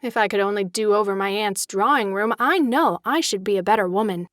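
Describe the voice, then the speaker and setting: An actress playing an elegant young socialite in a dramatized audiobook, refined, expressive and coquettish, discontented yet charming. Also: female voice, medium pitch, fairly quick, no echo, studio quality, lightly acted. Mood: rueful, confiding.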